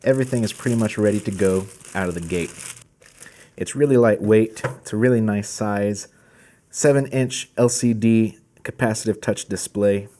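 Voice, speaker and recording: A man's voice: fairly low pitch, moderate pace, dark tone. A man hosting a product video, walking through gear in a casual presenting style. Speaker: host of a product channel.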